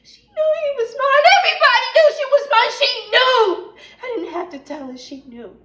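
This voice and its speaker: A woman playing an embittered, unhinged young woman jealous of her sister, telling her story slowly and swinging into bitter outbursts in a dramatic stage monologue. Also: high voice, a bit bright. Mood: furious, wounded. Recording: roomy echo, distant microphone.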